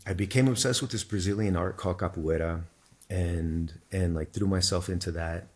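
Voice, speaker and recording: A man whose first language is Spanish, a podcast guest thoughtfully telling his own story in a measured, explanatory way. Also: sits low, darkish tone. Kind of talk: reflective personal storytelling in conversation.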